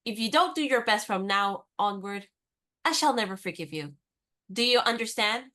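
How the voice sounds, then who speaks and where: An actress playing a spirited, competitive young woman in a radio play, brisk, teasing and confident. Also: medium pitch, lively intonation, sharp tone.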